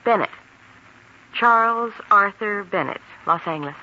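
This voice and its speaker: A man playing a brisk, businesslike American hospital switchboard worker in a 1940s radio drama. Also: sits high, lively intonation, over loud music. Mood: urgent, businesslike. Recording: muffled 1940s radio recording, faint noise.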